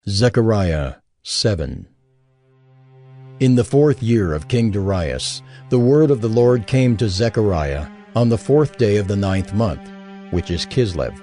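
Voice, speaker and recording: Male narrator for scripted Bible reading, lowish voice, music underneath. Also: animated, measured pace, dark tone.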